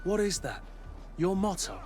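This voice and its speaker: A blunt military captain, fairly high-voiced. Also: male voice, very animated, measured pace, music in the background. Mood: skeptical. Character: blunt, commanding male guard captain. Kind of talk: dramatic video game dialogue.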